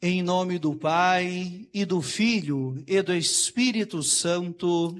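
Brazilian priest, high tenor, formal liturgical delivery. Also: male voice, takes it slowly. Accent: Brazilian Portuguese. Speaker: visiting priest. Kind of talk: liturgical opening and homily.

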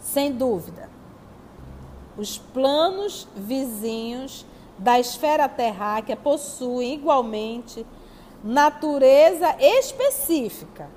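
High-voiced Brazilian woman lecturing in a hall. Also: lively intonation, slow pace, darkish tone. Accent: Brazilian Portuguese. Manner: teacherly, rhetorical questions answered herself. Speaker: spiritist lecturer. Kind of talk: religious study talk, reading and commenting on texts. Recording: long hall reverb, faint background noise.

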